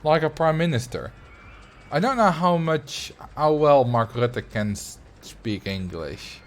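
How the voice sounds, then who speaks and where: Animated man with a Dutch accent. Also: a little high, unhurried, a little hiss or hum. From Netherlands, grew up in Drenthe. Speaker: video game livestreamer. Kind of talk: casual chat while streaming a game.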